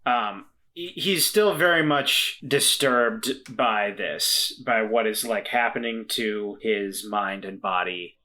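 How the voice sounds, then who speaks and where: A man playing a tabletop roleplay game, chatting casually and haltingly, often repeating his words as he thinks. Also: fairly low pitch, sharp tone. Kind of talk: casual actual-play chat.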